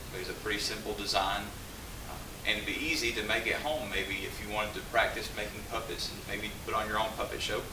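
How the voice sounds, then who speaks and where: In a hissy, roomy recording, a man with a fairly low voice is heard some way from the mic. He is a puppeteer giving an informal explanation to an audience. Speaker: puppeteer presenter. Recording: roomy, distant mic with hiss and noise.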